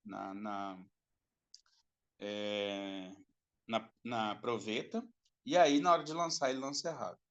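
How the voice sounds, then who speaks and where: A man's voice: fairly low pitch, slow, pauses often, highs cut off. A man giving a recorded class lecture, explaining step by step, repeating himself and checking in with the students. His throat is dry and he coughs now and then.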